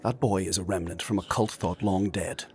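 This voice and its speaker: A man voicing an ancient, imperious spirit mentor in a dark fantasy audio drama, husky and commanding, with formal, condescending speech. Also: low-pitched, steady tone, moderate pace. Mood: stern, condescending.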